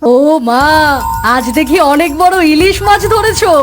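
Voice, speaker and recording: A woman voicing a sharp-tongued village wife and mother in a cartoon, with expressive, theatrical delivery. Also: extremely high pitch, measured pace, music in the background. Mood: pleased. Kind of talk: cartoon voice acting.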